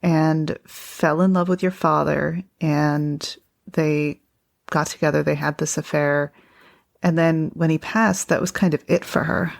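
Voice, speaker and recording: Female podcast host, slow and low, recaps what the guest said. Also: steady tone, gravelly. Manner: summarizes the guest's story back to him. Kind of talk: interviewing, frequent backchannels.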